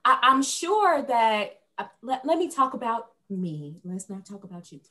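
Young woman from indiana on a podcast, high bouncy voice, teasing and emphatic. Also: very animated, unhurried, pushed and bright. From Indiana, USA. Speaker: podcast guest. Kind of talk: casual conversational debate.

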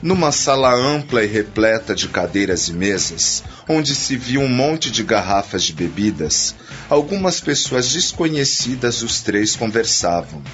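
A man's voice: mid-range voice, very animated, measured pace, a bit bright. A man narrating a scripted radio drama. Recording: dull radio play with background music.

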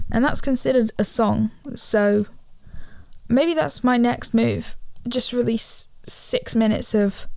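A teenage girl hosting a solo podcast from her living room on a handheld mic, chatting casually, rambling and correcting herself, her voice a little gravelly from a cold. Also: a little high, darkish tone, no echo. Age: teens. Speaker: podcast host. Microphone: handheld studio mic.

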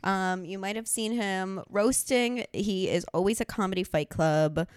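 A young woman hosting a comedy podcast, teasing her guest with quick, joking banter and frequent laughter. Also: medium pitch, smooth, no room sound, clean studio sound. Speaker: podcast host.

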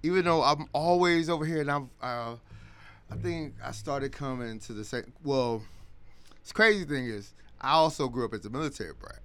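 A man's voice: medium pitch, moderate pace, fairly bright tone, smooth. An American man chatting casually as a guest on a podcast. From East St. Louis, Illinois, United States, partly raised in Germany. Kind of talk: casual chat.

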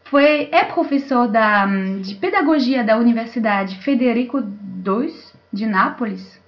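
A woman hosting a panel, introducing it in a semi-formal, somewhat halting way over an online call. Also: on the high side, animated. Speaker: host and moderator. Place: remote video call. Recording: dull lo-fi online call audio.

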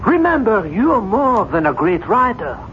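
An actor playing a stern, scornful, pushy doctor in a radio drama, lecturing with emphatic declamation. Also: male voice, high voice, sing-song, unhurried. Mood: pressing, lecturing. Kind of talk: 1970s radio drama acting. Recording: muffled, noisy 1970s radio recording.

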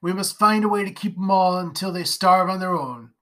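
A narrator voicing a haunted, drunken rural father who rants in a rough country dialect, raised and loud. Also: medium pitch, brisk, lightly acted. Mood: stern. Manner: rough rustic dialect, wild ranting. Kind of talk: storybook character voice.

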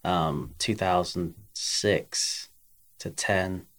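A man's voice: low voice, fairly even intonation, very slow, a bit bright. A man in his thirties from England, a golf coach talking casually as a podcast guest, with many fillers and restarts. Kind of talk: casual interview.

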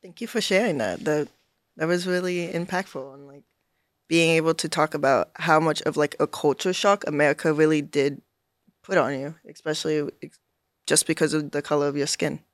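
Young male student interviewer, higher voice, dry mic; appreciative and a bit hesitant. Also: fairly even intonation, normal speed, dry recording. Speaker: student podcast host.

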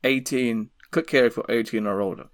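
A young man chatting casually as a podcast co-host, telling stories in a sweary, animated way. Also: medium pitch, brisk, crowd noise. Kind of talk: casual chat.

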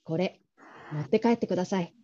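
A woman playing a polite, caring call-centre manager in a radio drama, gentle and measured, on slightly dull audio. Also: on the low side, natural voice. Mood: earnest, measured.

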